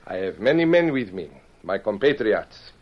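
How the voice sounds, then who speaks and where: A man's voice: fairly low pitch, very animated. A man playing a cruel, sly Mexican gang leader with a Mexican accent, smug and menacing, in an old-time radio western. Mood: gloating, menacing. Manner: smug, menacing, drawn-out taunting. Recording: dull old radio recording.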